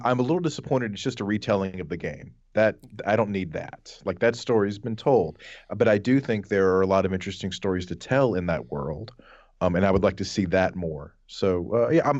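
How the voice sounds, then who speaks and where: Low-voiced male co-host, blunt casual chat, close dry recording. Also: a little mellow, no echo, dull audio. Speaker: podcast co-host.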